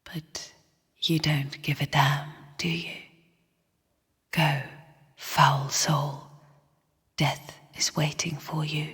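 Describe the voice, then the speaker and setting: An actress playing a bleak, bitter female spirit in a video game, speaking in a breathy, husky whisper with a hushed, cryptic, scornful manner. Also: low voice, little pitch movement, drawn-out words, pauses often, exaggerated voice. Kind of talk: video game character monologue. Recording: long reverberant hall echo.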